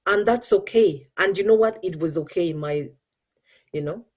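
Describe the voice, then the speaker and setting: Middle-aged Ugandan-accented woman host, mellow dark tone, earnest and coaching. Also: fairly low pitch, moderate pace, slightly rough. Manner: earnest, mixes English with Luganda. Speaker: show host. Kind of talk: motivational talk.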